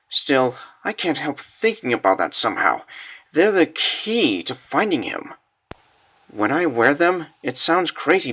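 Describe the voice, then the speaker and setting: A man's voice: on the high side, a bit bright, slightly rough, heavily acted. A man voicing an earnest, spirited young girl in a comic dub, with emotional, exclamatory delivery.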